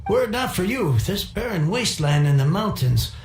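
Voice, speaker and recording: A man's voice: sits high, sing-song, normal speed, slight background noise. A man voicing a middle-aged merchant heir who talks in an earnest, chatty, gossipy way in a video game cutscene. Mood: grateful. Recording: roomy game audio with faint music.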